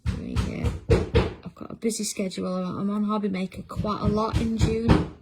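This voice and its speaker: Woman, middle-aged, craft livestream host, casual chatty talk, gravelly voice, mid pitch. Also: fairly even intonation, moderate pace, loud music behind the voice. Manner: chatty, reads out viewer comments while working. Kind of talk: casual chat while drawing on a livestream.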